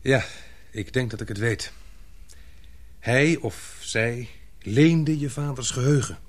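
A man's voice: mid-range voice, takes it slowly, no room sound. A man playing a dutiful young English fiancé in a radio drama, thoughtful and restrained. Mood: thoughtful, respectful.

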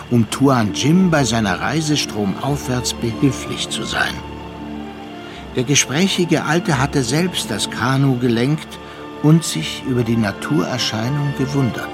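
A reflective storyteller in a literary radio drama. He has a mid-pitched voice and composed, measured phrasing, and music plays clearly behind him. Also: lively intonation. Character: composed, reflective male storyteller recalling a friend. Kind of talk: literary narration in a German radio play. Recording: music under the voice.

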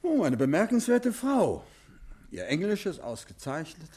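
An actor playing a confident, urbane detective in a 1950s radio drama, who speaks in a relaxed, teasing way. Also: male voice, fairly high pitch, unhurried, slightly rough, exaggerated voice. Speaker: amateur detective.

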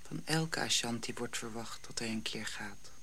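A woman playing a gentle African mother in a radio drama, speaking in a slow, hushed storytelling way. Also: extremely low pitch, slight background noise, full character voice. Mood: soothing. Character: gentle African mother soothing her young child.

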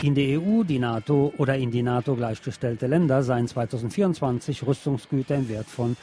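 Newsreader, man with a mellow voice. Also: medium pitch, soft background music. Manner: measured, formal read-out delivery. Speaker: news reader. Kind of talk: scripted news narration.

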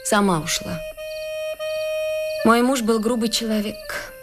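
Casting: proud rural woman, high voice, needling yet wistful, radio play with music underneath. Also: takes it slowly. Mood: hurt, proud. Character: proud, teasing village woman, farm manager, secretly smitten. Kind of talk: Russian radio drama acting.

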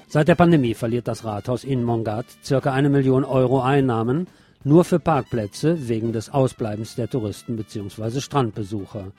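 A man's voice: mid-range voice, mellow. A man reading scripted news as a radio presenter. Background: music behind the voice.

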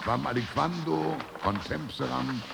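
An actor playing an ageing medieval poet-scholar who soberly looks back on life, reciting in a solemn, measured radio-play manner. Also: male voice, mid-range voice, gravelly, heavy background noise. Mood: grave, reflective. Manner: measured, declamatory.

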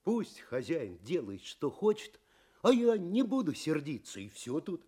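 An actor playing a naive, humble farmhand in a fairy-tale audio play, soft, husky and hesitant, musing with sighs. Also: male voice, fairly high pitch, wildly swooping pitch, a little slow, exaggerated voice. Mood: dreamy, musing.

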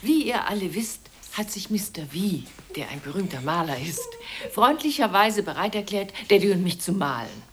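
A woman's voice: mid-range voice, sing-song, normal speed, a little hiss or hum, close to an everyday voice. An actress playing a bossy but doting mother in a German radio play, by turns commanding and coaxing. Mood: announcing. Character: bossy, doting mother organising children for a portrait.

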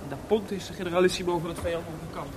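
An actor playing a lively, chatty young composer in a Dutch-language radio drama. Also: male voice, high voice, racing, noisy background, lightly acted. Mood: gossipy, amused. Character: lively, worldly young composer Mozart, anxious about his audience.

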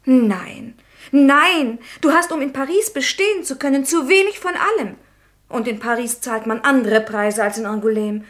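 An actress playing a devoted, self-sacrificing young sister and bride in a German radio drama, with an earnest, emotional delivery. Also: female voice, high voice, normal speed, natural voice. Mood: tender, agitated.

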